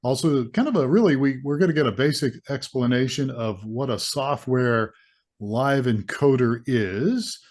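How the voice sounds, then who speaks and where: A man hosts a webinar over a video call, his voice pitched ordinarily. He keeps things light and upbeat, often restarting his sentences. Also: moderate pace, darkish tone. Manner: stumbling restarts, upbeat filler like "all right", "there you go". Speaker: webinar host. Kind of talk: hosting a webinar.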